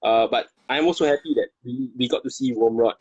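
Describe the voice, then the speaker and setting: Husky Singaporean man, halting chat. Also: medium pitch, a little mellow, no echo, rough recording. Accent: Singaporean English. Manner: halting, repeats words, Singlish particles. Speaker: guest. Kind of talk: casual chat.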